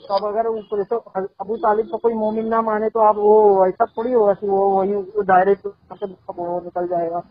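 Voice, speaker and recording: A man on a recorded phone call in a conversational religious debate, speaking in a halting, hesitant and conciliatory way. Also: sits high, flat delivery. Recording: thin, band-limited phone line.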